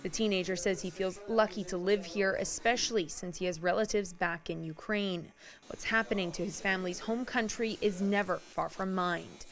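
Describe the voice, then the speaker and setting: Mid-pitched woman reporting the news. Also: fairly even intonation, moderate pace. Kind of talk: scripted news narration.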